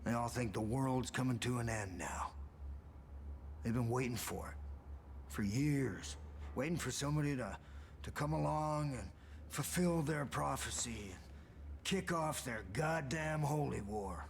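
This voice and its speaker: An actor playing a gruff, weary older American survivalist in a video game, talking bluntly with swearing and a folksy turn of phrase. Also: male voice, medium pitch, unhurried, a little hiss or hum. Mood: weary, angry. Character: gruff, weary older male survivalist, cynical but defiant. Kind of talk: video game cutscene acting. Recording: dramatic music behind the voice.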